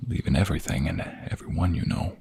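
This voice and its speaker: A man playing a tender, protective young mobster in an intimate ASMR romance roleplay, speaking softly and haltingly in a husky, gravelly, breathy voice. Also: sits low, slight background noise. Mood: gentle, concerned. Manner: soft, halting, gentle, intimate.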